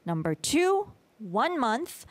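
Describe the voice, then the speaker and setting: A woman's voice: fairly high pitch, big pitch swings, slow pace. A woman hosting a casual quiz chat in an upbeat, encouraging way, laughing often. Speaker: quiz host.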